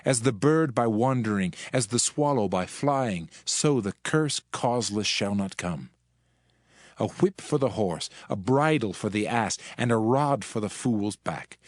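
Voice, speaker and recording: A man giving a solemn, declamatory, dramatized reading of scripture in measured phrases. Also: fairly low pitch, expressive, no echo, studio quality. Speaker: audio Bible narrator. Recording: music behind the voice. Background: background music.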